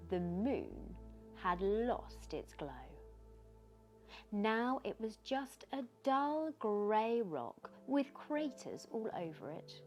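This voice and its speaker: A woman reads a children's bedtime story aloud in an expressive, gentle storytelling manner. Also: medium pitch. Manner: expressive, gentle storytelling with questions posed to the listener. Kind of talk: bedtime story read aloud with short asides.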